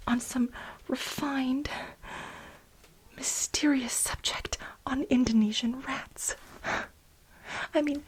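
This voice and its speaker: A woman's voice: sits high, poor audio. Woman acting a whispering, aggrieved female bioengineer. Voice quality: breathy, mostly whispered. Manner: emphatic, halting, repeats words for dramatic stress. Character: frantic, aggrieved overworked female bioengineer pleading with her boss. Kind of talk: soft-spoken ASMR role play.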